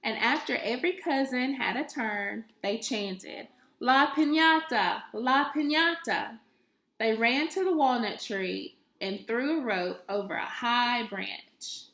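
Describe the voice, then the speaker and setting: A woman's voice: fairly high pitch, lively intonation, unhurried, gravelly, few pauses, fairly bright tone. A woman reading a children's story aloud expressively, like a teacher reading to a class. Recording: echoey, roomy sound.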